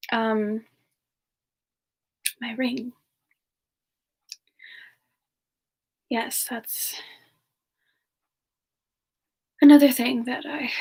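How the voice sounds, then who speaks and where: A woman playing a gentle, romantic demon woman, soft-spoken and hesitant, answering questions in a semi-ASMR roleplay. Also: fairly high pitch, husky, full character voice. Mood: warm, playful. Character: gentle, romantic female demon reborn from a past life. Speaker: streamer answering viewer questions in character.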